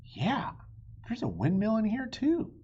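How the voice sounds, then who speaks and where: A man's voice: high-pitched, wildly swooping pitch, a little slow, darkish tone, slightly rough, lo-fi sound, pitched above the performer's own voice, exaggerated voice. A man voicing a curious, cautious, bookish young boy in a children's storybook, with a studious, hesitant manner. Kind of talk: children's storybook read-aloud.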